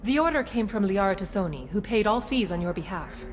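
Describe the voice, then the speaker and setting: A woman's voice: medium pitch, animated, fairly quick, a little hiss or hum, close to an everyday voice. A woman voicing a polite city greeter in a video game, speaking in a formal, courteous way. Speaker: receptionist. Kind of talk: video game cutscene acting.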